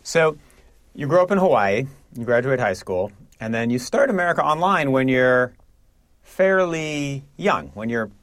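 A man's voice: medium pitch, lively intonation, unhurried. A man interviewing an author, asking questions in a friendly, structured way.

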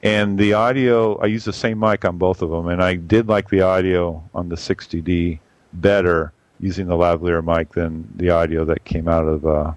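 A man with a boomy voice giving a rambling, casual camera review full of fillers and restarts. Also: fairly even intonation, normal speed, no room sound. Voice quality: boomy on a mic. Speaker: photography podcast regular reviewing cameras.

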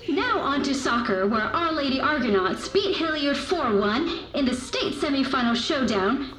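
A woman playing an upbeat high school student reading school announcements. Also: fairly bright tone. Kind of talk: peppy scripted school announcements. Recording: echoey school announcement sound.

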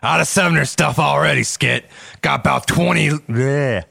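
A man's voice: on the high side, moderate pace, vocal fry, bright, forceful tone, slight background noise. A man voice acting in a recording session, restarting lines and joking between takes. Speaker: voice actor.

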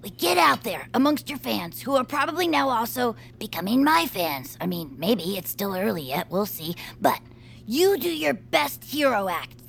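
A woman's voice: high-pitched, vocal fry, a bit bright, slight background noise, exaggerated voice. A woman playing an eager, plucky young fan with big schemes in a comedy audio drama, earnest and persuasive. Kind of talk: audio fiction comedy acting.

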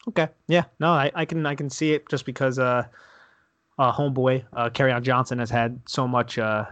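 A man with a middle-pitched voice co-hosts a casual sports podcast in an easygoing way. He asks his questions loosely, with plenty of fillers. The sound is dry and close to the mic. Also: fairly even intonation, moderate pace, fairly bright tone, no echo. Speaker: podcast co-host.

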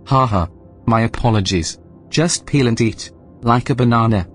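Man acting as deadpan, snide station AI. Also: medium pitch, normal speed, faint music behind, natural voice. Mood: dryly amused. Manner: deadpan, precise, formal with sardonic jabs. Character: dry, snide male AI station supervisor, composed. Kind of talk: scripted sci-fi audio drama.

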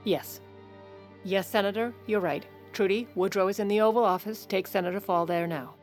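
A woman acting as a protective First Lady in an audio drama. She is composed, clipped and commanding, with a steely edge and a mid-pitched voice. Music runs underneath. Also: steady tone, moderate pace, natural voice. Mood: clipped, urgent. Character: steely, protective First Lady covering for her ailing husband. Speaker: lead character and narrator.

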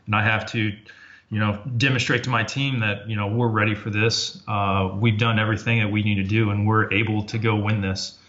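A man talking as a podcast guest, reflective and casual, often pausing with fillers and restarting his sentences. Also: on the low side, level tone, a bit bright. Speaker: podcast guest, former Army Ranger officer. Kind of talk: casual interview talk. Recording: dull lo-fi audio.

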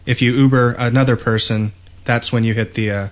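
Male podcast host, mid-pitched, explanatory and a bit halting, in relaxed gamer discussion. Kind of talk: casual gaming discussion.

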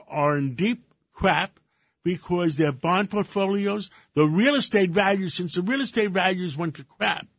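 Greek-born older man, halting talk. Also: fairly high pitch, fairly bright tone, no echo. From Greece. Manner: halting, many fillers and restarts. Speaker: radio show co-host. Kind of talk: casual radio talk.

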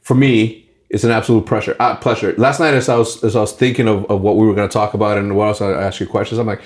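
A man hosting a podcast interview, energetic and rambling, restarting his sentences often. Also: on the low side, fast. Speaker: podcast host.

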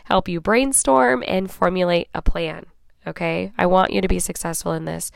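A woman hosting her own podcast in a casual, chatty way, hoarse and raspy from a cold, often checking in with "right?" and "okay?". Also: fairly low pitch, steady tone, normal speed, dry recording, clean studio sound. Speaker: podcast host. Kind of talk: casual solo podcast talk.

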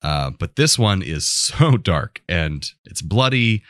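A man with a very low voice, recorded close and dry. He talks as a podcast co-host in casual conversation, enthusiastic and rambling as he goes. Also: very animated, slow pace, a bit bright, dry recording. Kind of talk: casual pop culture chat.

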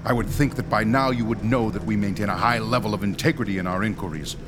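A man's voice: fairly low pitch, fast talker, noisy background, natural voice. A man playing a dignified, pedantic doctor leading ghost hunters in a radio drama, speaking formally and precisely. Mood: stiffly indignant. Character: dignified, pedantic male ghost-hunting doctor and team leader. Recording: music behind the voice.

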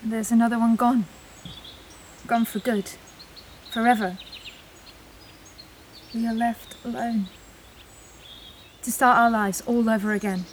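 A woman's voice: fairly high pitch, steady tone, takes it slowly, long pauses, a little hiss or hum. A woman acting in an audio drama as an anguished, intense character facing loss, speaking dramatically. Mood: grieving, resolute. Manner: dramatic, urgent.

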